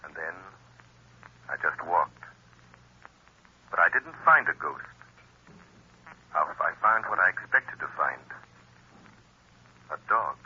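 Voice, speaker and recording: A man playing a calm, mysterious invisible crime-fighter who speaks in a soothing but commanding way, on an old radio broadcast. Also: low-pitched, slow pace, pauses often, husky, highs cut off, full character voice.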